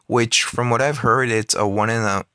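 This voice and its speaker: A man's voice: medium pitch, fairly even intonation, normal speed, pushed and bright, clear, resonant tone, no echo, studio quality. A man giving casual commentary on a mobile game, rambling with many fillers and restarts, recorded on a phone.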